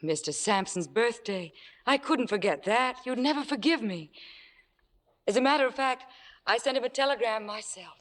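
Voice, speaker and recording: A woman's voice: on the high side, expressive, moderate pace, close to an everyday voice. Deferential woman as a young assistant, reverberant. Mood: innocent, eager. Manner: polite, deferential. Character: eager, deferential, overly helpful young female assistant. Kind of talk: 1950s radio drama acting. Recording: old radio broadcast with long reverb.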